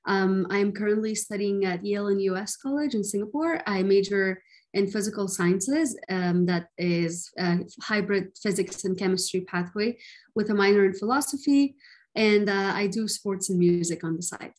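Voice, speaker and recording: A woman's voice: medium pitch, moderate pace, darkish tone. A young woman in her twenties from Afghanistan, a student answering interview questions earnestly with a few fillers. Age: 20s. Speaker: guest, student and activist.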